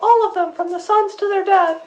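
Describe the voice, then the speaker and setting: A very high, childlike voice over music: a woman acting a nine-year-old tomboy girl in a radio play. She is blunt, indignant and ready to argue with grown-ups. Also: fairly even intonation, normal speed, darkish tone. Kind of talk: acted radio interview for a school project.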